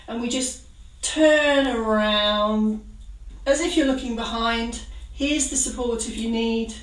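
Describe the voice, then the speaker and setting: A woman leading a gentle exercise class, giving calm, encouraging step-by-step cues. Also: fairly high pitch, distant mic. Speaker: exercise instructor. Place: kitchen. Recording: roomy sound from away from the mic.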